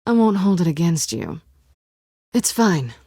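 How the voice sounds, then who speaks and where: Sardonic female thief, video game dialogue, slightly low pitch, breathy husky voice, flirtatious, understated, dry studio sound. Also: sing-song, a little slow, dark tone, no echo, heavily acted. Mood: playful, sly.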